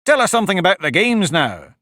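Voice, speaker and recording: A blustering storybook griffin, played by a man barks his lines loudly and firmly in a raised voice. The pitch jumps around energetically. The recording is dry and close. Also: very animated, brisk, dry recording, exaggerated voice. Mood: brisk. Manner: loud, decided and blustering. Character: bossy, boisterous male griffin creature.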